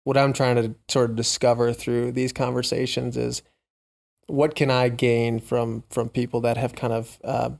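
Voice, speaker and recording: A man hosting a podcast, reflecting casually in thoughtful, halting phrases. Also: mid-range voice, level tone, creaky, a little mellow. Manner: thoughtful, halting phrasing, frequent short affirmations. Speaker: podcast host.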